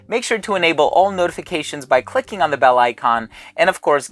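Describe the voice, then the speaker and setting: A man's voice: a little high, fairly bright tone. A man hosting a fragrance channel, chatting casually and thinking aloud while he smells each bottle. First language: English. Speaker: fragrance reviewer. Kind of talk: casual sponsored haul video.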